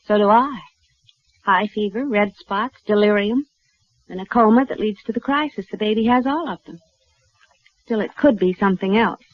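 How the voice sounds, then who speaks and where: Actress as resolute, dry-humored Old West lady physician, mid-range pitch, vintage radio drama, dull sound. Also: normal speed, pauses often, natural voice. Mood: sober, clinical. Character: determined, dryly self-doubting woman doctor in the Old West. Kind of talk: 1950s western radio drama acting. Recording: muffled, noisy vintage radio recording.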